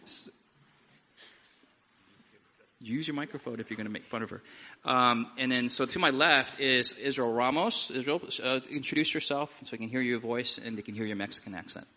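A man who is a pastor, hosting a live seminar panel in a relaxed, joking, conversational way. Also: medium pitch, fairly quick. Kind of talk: opening prayer then panel introductions. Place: seminar hall with live audience. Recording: dull sound with long hall reverb.

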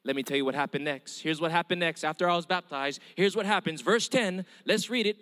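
Hawaiian-accented male preacher, high voice, hall reverb. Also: a little fast, a bit bright. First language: Hawaiian Pidgin. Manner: earnest storytelling with calls of amen. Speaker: evangelist. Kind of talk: testimony sermon.

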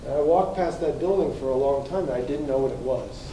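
A man's voice: medium pitch, a little fast, noisy background. An American man in his fifties giving an earnest personal testimony as a guest speaker in a church hall. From United States. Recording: echoey room, from far off.

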